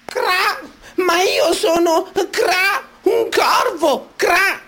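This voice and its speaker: A man's voice: very high voice, drawn-out words, bright, forceful tone, pitched above the performer's own voice, exaggerated voice. A man voicing a cheeky, boastful crow in a storybook reading, squawking and breaking his words with caws. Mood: cocky.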